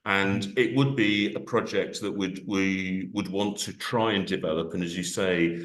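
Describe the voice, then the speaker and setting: A man moderating an online panel on a video call, speaking haltingly with many restarts and fillers. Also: low voice, fairly even intonation, fairly bright tone. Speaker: event organiser and moderator. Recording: echoey room on a video call.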